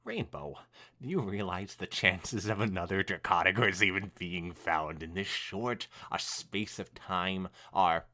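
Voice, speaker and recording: A man voicing a skeptical, bookish female pony friend, questioning and measured, in a fan fiction reading. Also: deep voice, pushed and bright, heavily acted. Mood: incredulous.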